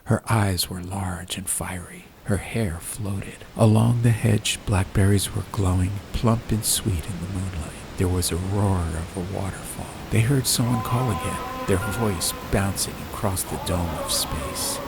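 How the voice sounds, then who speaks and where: Casting: deep male audiobook reader for atmospheric fantasy narration, light music bed. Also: measured pace, creaky, few pauses, poor audio.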